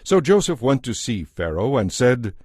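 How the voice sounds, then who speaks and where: A man narrating scripture from a script in a measured, solemn manner. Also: mid-range voice, big pitch swings, darkish tone, husky, no room sound, studio quality. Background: music.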